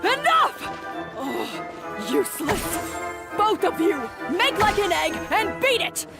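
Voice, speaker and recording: Imperious high-pitched furious chef. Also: female voice, big pitch swings, slow, full character voice. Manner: imperious, sharp exclamations. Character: furious perfectionist female chef. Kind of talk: video game voice acting. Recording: loud music behind the voice.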